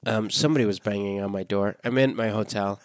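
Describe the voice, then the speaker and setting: A man's voice: on the low side, steady tone, fast, dry recording, studio quality. A man co-hosting a podcast remotely from a hotel room, talking casually and rambling with lots of fillers. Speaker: podcast co-host. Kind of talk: casual chat. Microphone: remote call from a hotel room.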